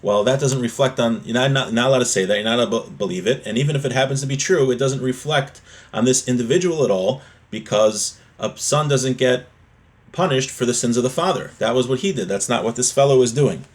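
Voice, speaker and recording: A man's voice: medium pitch, brisk. A man giving an informal religious text lesson as a teacher, explaining and restating points with everyday examples.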